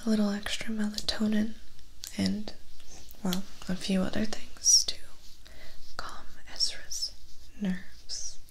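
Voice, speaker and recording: Young woman acting as weary human vampire's assistant, whispery, creaky voice, gentle, hesitant, confiding, ASMR roleplay. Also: mid-range voice, little pitch movement, slow pace, long pauses. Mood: matter-of-fact. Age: young adult. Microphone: close ASMR microphone.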